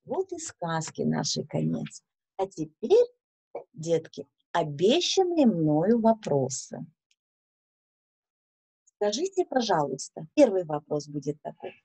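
A woman in her thirties telling a story to children in a deliberate, expressive, instructive way. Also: on the low side, gravelly, breathy edge. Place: small indoor room with bookshelves.

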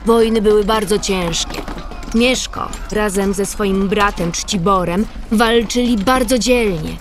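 A woman narrator telling a scripted historical story in a radio drama. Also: on the high side.

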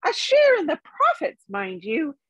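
Lady doing a squeaky little hobbit guy from a storybook, fussy n polite, voice swoops around way up high. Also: wildly swooping pitch, measured pace, dry recording, exaggerated voice. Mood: earnest, persuasive. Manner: fussy, chatty, hedging politeness. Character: small, fussy, polite male hobbit, nervous but plucky.

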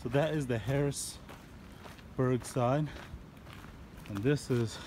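A man casually narrating a family hike on a mountain ridge trail, chatting like a relaxed tour guide. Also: medium pitch, takes it slowly. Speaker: vlogger filming a family hike. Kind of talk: casual hiking vlog narration. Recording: loud outdoor wind and ambience.

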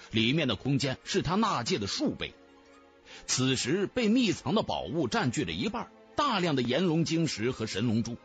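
A man's voice: a little high, slightly rough. Male narrator for fantasy audiobook: theatrical, hugely varied intonation, faint music bed. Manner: theatrical, storytelling. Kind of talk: dramatic scripted narration of a fantasy novel.

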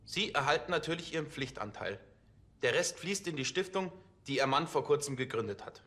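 A jittery fake notary. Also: male voice, fairly high pitch, animated, sharp tone. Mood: nervous. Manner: hesitant, halting with fillers. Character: nervous male police officer posing as notary. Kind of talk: TV crime comedy dialogue.

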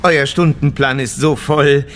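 A man's voice: mid-range voice, steady tone, measured pace, a bit bright, lightly acted. An actor playing an officious, deferential male aide in a German radio drama.

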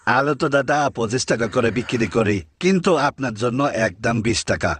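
A man's voice: medium pitch, lively intonation, brisk, fairly bright tone, a little hiss or hum, close to an everyday voice. A man playing a long-suffering village vendor in a cartoon, placating and exasperated. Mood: hawking. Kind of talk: comic cartoon acting in Bengali.

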